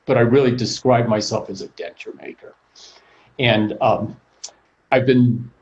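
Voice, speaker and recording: A man in his sixties, a prosthodontist, giving a practical, plainspoken webinar talk in a measured way. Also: fairly low pitch, warm tone. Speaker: prosthodontist presenter. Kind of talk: informal professional presentation. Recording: muffled lo-fi call audio.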